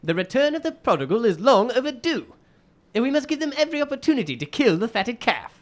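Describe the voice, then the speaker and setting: Radio drama lead: calm, commanding gentleman detective played by a man, formal, thoughtful, very varied intonation. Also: extremely high pitch, fast talker. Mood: brisk. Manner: musing, hums thoughtfully, formal. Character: astute, courteous gentleman detective, calmly in command.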